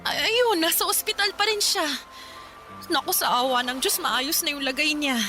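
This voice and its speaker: A woman's voice: high voice, moderate pace, sharp tone, faint music behind. An actress playing a caring, harried mother who fusses and reassures in a radio drama. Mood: reassuring, harried. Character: caring, harried mother of a poor fishing family.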